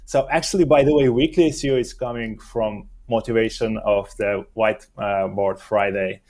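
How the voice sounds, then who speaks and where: A young adult man whose first language is Romanian, answering interview questions in a halting way while he searches for words. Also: lowish voice, fairly even intonation, darkish tone. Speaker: guest, SEO expert.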